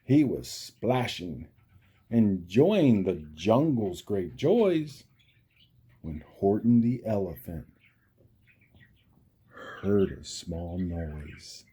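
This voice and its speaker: A man reading a children's storybook aloud with dramatic, deliberate delivery. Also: sits low, sing-song, dark tone, a little hiss or hum. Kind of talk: expressive children's read-aloud. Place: outdoors.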